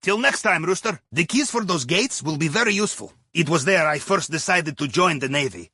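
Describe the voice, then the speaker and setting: An actor playing a genial, wry Russian ex-navy submarine captain who guides a heist in a video game, with a Russian accent and a conspiratorial manner. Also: male voice, a little high, animated, brisk, bright, forceful tone, slightly rough, no echo, studio quality, full character voice. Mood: wry, instructive. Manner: wry, conspiratorial, tags statements with questions. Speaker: mission handler. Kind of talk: video game mission dialogue over comms.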